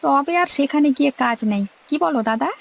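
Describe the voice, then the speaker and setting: A woman voicing an eager, deferential male boatman's helper in a cartoon, creaky, with a questioning, folksy manner. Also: high voice, a little fast. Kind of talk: cartoon story dialogue.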